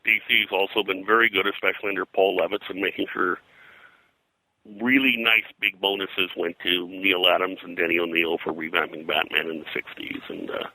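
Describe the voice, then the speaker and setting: An older man speaking as an interview guest and historian, telling anecdotes in a casual, halting, discursive way. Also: deep voice, steady tone, pushed and bright, dry recording, poor audio. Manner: halting, discursive, hesitant phrasing.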